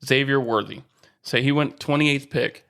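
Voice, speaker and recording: A man's voice: mid-range voice, moderate pace, vocal fry, a bit bright, clean tone. American man chatting casually about sports. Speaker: sports podcast co-host.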